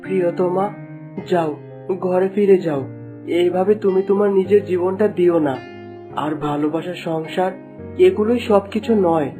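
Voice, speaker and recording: A woman's voice: on the low side, steady tone, moderate pace, long pauses, natural voice. A woman as a tender young male spirit over guitar. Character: gentle, loving spirit of a dead young man. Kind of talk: melodramatic cartoon dialogue. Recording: plucked guitar music under the voice.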